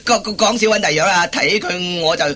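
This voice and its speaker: An actor playing a timid, henpecked husband in a radio comedy, stammering and repeating himself. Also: male voice, high-pitched, fast, bright, forceful tone. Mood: hesitant, embarrassed. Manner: stammering, hesitant, repeats his words. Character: henpecked, timid, flustered husband and office worker. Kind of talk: Cantonese radio comedy acting.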